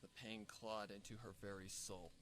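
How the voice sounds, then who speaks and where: A man narrating a dark horror fanfiction in a steady, measured storytelling voice. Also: fairly low pitch, a little hiss or hum. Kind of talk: dramatic horror story reading.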